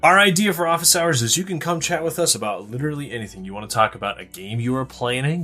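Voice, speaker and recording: Male podcast host, mid-pitched and crisply forceful, relaxed conversational wording. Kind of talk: casual podcast chat.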